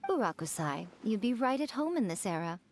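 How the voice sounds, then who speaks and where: A woman's voice: medium pitch, sing-song, brisk, slight background noise, natural voice. A woman voicing a sly, elegant fox shrine priestess in a video game, playful, teasing and self-assured. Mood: breezy, fond. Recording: music behind the voice.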